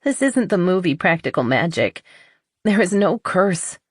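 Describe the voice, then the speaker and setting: A woman voicing a blunt, honest, skeptical but loyal best friend in an audiobook, with a dry teasing manner and husky voice. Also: on the low side, big pitch swings, measured pace, no room sound, voice pushed up, full character voice. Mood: frank.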